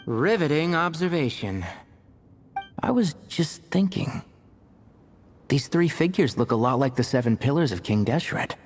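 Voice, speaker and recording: A man's voice: fairly high pitch, animated, unhurried, long pauses. A man playing a dry, skeptical and clever young scholar in a video game, with wry delivery. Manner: wry, sarcastic. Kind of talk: scripted video game dialogue.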